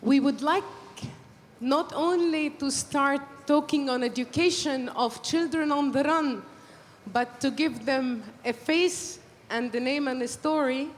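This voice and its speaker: A woman moderating a conference panel in a formal, measured way, pausing now and then with fillers. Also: high-pitched, slight background noise. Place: conference hall. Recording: reverberant hall with faint ambience.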